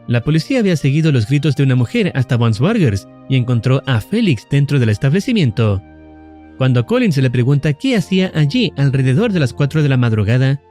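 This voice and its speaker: Mellow male narrator explaining horror lore. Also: mid-range voice. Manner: measured, explanatory.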